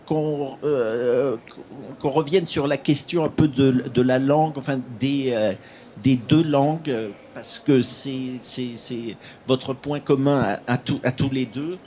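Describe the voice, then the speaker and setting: A man's voice: mid-range voice, warm tone, slight background noise. Hesitant male conference moderator. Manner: hesitant, fills with "euh".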